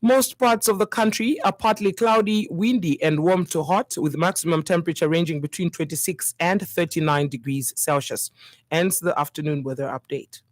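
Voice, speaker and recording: A woman's voice: fairly low pitch, normal speed, dry recording, faint music behind. A woman with a Zambian accent presenting a scripted radio news bulletin.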